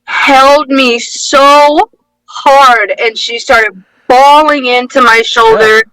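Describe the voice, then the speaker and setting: A woman's voice: high-pitched, animated, slow pace, sharp tone, dry recording, poor audio. A woman guest on a podcast, telling a personal story in a rambling, emotional way. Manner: rambling, emotional, fillers and restarts, acts out quotes. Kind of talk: casual storytelling in interview.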